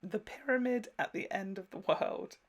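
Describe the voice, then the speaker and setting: A woman's voice: mid-range voice, normal speed. A young woman co-hosting a casual reaction chat, speaking in an informal, slangy way. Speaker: co-host of a reaction channel.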